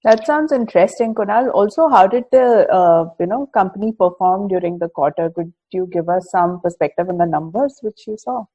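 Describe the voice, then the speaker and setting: A woman's voice: medium pitch, normal speed, hardly any pauses, mellow, breathy edge, highs cut off. A woman hosting a podcast and putting questions to a colleague in a conversational style. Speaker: podcast host, reporter asking questions.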